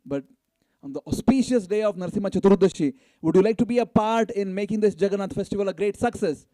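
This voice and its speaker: A man's voice: high voice, fast talker, warm tone, no echo. A man making live fundraising announcements to a community gathering, exhorting and prompting his listeners to respond. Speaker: event announcer and fundraiser at a temple. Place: spoken live to a gathering at a temple event.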